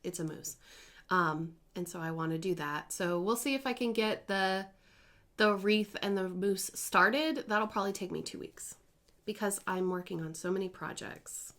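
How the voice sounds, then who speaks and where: A woman in her thirties chats at home about her stitching projects. She rambles and corrects herself as she goes, in an easygoing, conversational way. Her voice sits at a middling pitch. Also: moderate pace, a bit bright. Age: 30s. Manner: chatty and rambling, with self-corrections. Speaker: cross-stitch vlogger. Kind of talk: casual chatty crafting vlog.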